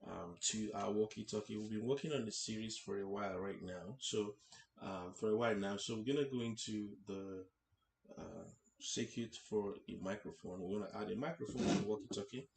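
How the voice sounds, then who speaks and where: A man teaching a hands-on technical tutorial in an informal way, haltingly, often repeating and rephrasing his words. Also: fairly low pitch, creaky, darkish tone. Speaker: instructor hosting an electronics tutorial series. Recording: echoey room, faint background noise.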